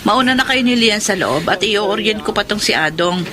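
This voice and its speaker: A woman's voice: mid-range voice, lively intonation, moderate pace, natural voice. A woman with a Filipino accent playing a polite, businesslike, middle-aged lady of the house in a radio drama. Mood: brisk, polite. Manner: businesslike, chatty, Taglish-speaking employer. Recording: faintly noisy radio drama recording.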